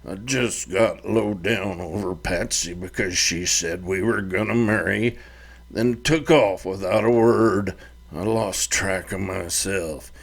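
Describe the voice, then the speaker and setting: A man voicing a whiny, ashamed grown son and recovering drunk, in a low, muttering put-on voice. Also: slow pace, gravelly. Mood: sheepish. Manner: whiny, mumbling.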